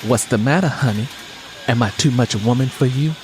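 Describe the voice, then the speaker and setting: A man's voice: mid-range voice, a little fast, background noise, natural voice. A man voicing a sassy, flirtatious wife in an audiobook, with a teasing, sultry manner. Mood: sassy, seductive.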